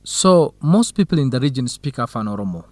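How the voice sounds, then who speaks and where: A friendly, curious male field researcher acted by a man in a radio drama. He explains things conversationally in a mid-pitched voice, recorded close and dry. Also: big pitch swings, brisk, darkish tone, no room sound, natural voice. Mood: explaining. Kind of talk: scripted educational radio drama dialogue.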